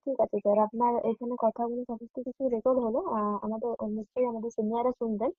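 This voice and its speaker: Female call-centre agent, polite and formal, extremely rapid delivery, narrow phone sound. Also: fairly high pitch, flat delivery, dry recording. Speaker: telecom customer care agent. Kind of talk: customer service call. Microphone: telephone. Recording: tinny phone line, faint music.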